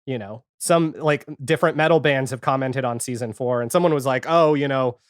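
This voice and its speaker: A man's voice: medium pitch, brisk, clean tone, dry recording, studio quality. A man speaking as a podcast guest in casual chat, telling stories in a rambling way and often acting out his own remarks as quotes. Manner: rambling, self-quoting storytelling. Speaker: podcast guest, audio fiction creator and editor.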